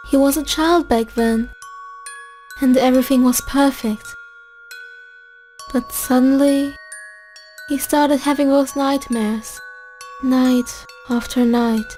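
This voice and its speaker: A woman playing a gentle, worried motherly monster queen in an amateur comic dub, speaking with maternal care. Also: sits high.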